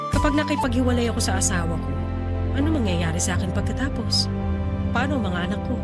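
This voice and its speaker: An actress playing a wealthy, teasing, world-wise fifty-year-old wife in a radio drama. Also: female voice, mid-range voice, lightly acted. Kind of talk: Tagalog radio drama acting. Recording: music under the voice. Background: loud background music.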